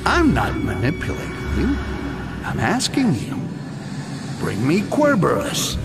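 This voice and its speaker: An actor playing a cynical, foul-mouthed goblin rogue in a video game, with a gravelly, sardonic mutter. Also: male voice, medium pitch, full character voice. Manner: muttering to himself, sardonic, swearing. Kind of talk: dramatic video game acting.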